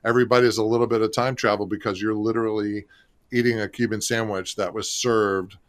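A man from Florida, a restaurant marketing executive and co-author, talking in a relaxed, chatty way with lots of fillers. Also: fairly low pitch, a little fast. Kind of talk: radio interview guest.

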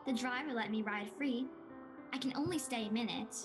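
A woman's voice: on the high side, a little fast, over music, close to an everyday voice. A woman playing a sweet, earnest young girl, somebody's little sister, in a radio play. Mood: eager.

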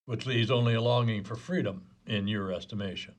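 A man's voice: lowish voice, fairly even intonation, a little fast, natural voice. A man playing a dry, persistent police detective in a comedic radio play, terse, probing and matter-of-fact.

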